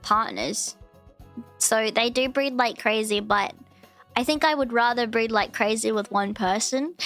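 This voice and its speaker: A woman chatting casually on her live stream, with a cute, high voice, rambling and giggling through restarts and fillers. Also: sharp tone. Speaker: VTuber streamer. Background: faint background music.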